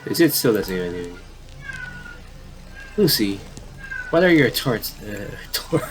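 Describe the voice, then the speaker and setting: Male gaming commentator, lowish pitch, informal read-aloud style with stumbles and repeats, slightly noisy recording. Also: big pitch swings, unhurried. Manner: stumbles and repeats phrases while reading. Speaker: gaming commentator reading a visual novel aloud. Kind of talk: casual playthrough commentary mixed with reading dialogue aloud.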